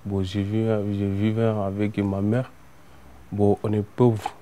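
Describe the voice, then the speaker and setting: Young male student from Mali, lowish, slow, halting personal testimony. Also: level tone, warm tone, slight background noise. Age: young adult. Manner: halting, repeating words.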